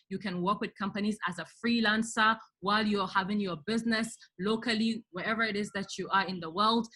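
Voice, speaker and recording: A woman speaks as a webinar host with a mid-pitched voice. She gives motivational career advice in an emphatic manner, often addressing her listeners directly with rhetorical questions. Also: fairly bright tone. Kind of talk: motivational advice to an online audience.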